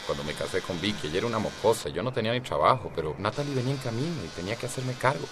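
An actor playing a cocky, self-justifying middle-aged husband in a radio soap, with a Costa Rican accent. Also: male voice, fairly low pitch, animated, very fast, background noise. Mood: pleading, self-justifying.

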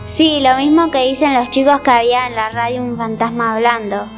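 Woman as a nervous high-pitched schoolgirl. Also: fairly even intonation, brisk, over music, close to an everyday voice. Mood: gossipy. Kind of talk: radio play acting.

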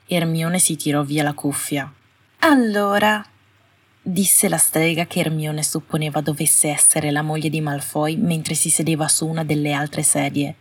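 A woman's voice: low voice, flat delivery, moderate pace, few pauses, breathy edge. A woman reading a scripted story as an audiobook narrator. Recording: roomy, slightly distant home recording.

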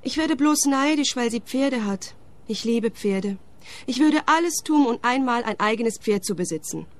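A woman's voice: fairly high pitch, lively intonation, moderate pace, natural voice. A young woman playing a cheeky squatter. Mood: teasing. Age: young adult.

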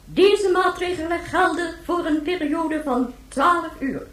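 An actress playing a formal planetary president in a radio drama, reading an official address in a stately, measured manner. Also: female voice, very high voice, fairly bright tone, exaggerated voice. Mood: solemn, official. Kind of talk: formal government broadcast speech in radio drama. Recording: echoey, roomy sound.

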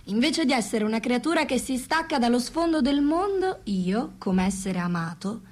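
A woman's voice: a little high, animated, moderate pace, a little mellow. An actress playing a cultured, questioning female intellectual in a radio drama, speaking articulately. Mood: earnest, probing. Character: cultured, probing woman, intellectual companion of a philosopher.

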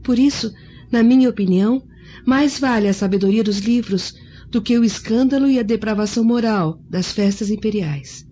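A woman's voice: a little high, normal speed, warm tone, lightly acted. A woman playing a refined, bookish young Roman noblewoman in a radio drama, speaking formally and earnestly. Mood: proud, earnest. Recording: dull lo-fi audio with music behind.

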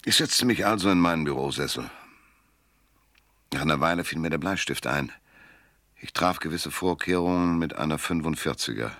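A man's voice: very deep voice, expressive, moderate pace, long pauses, a bit bright, no echo. A man playing a weary, cynical private detective in a radio drama, narrating and talking in a laconic, dry, world-weary way. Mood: weary, disgusted. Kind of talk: first-person noir narration and dialogue in radio drama. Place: dry studio.

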